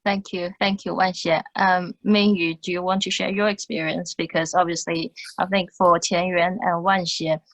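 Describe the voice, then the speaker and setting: A woman's voice: lowish voice, level tone, moderate pace, few pauses, no room sound, low-quality recording. A woman moderating a conference panel, politely inviting the next speaker.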